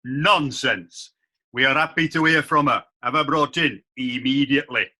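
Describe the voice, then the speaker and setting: A man's voice: medium pitch, normal speed, sharp tone. A man playing a curt, authoritative medieval judge presiding over a court. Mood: commanding. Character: brisk, commanding medieval judge. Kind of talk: amateur radio drama.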